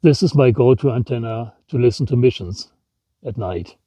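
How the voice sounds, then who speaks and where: A man narrating a scripted equipment demonstration in a measured, matter-of-fact, explanatory way. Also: medium pitch, mellow, highs cut off. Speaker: presenter, radio scanning enthusiast.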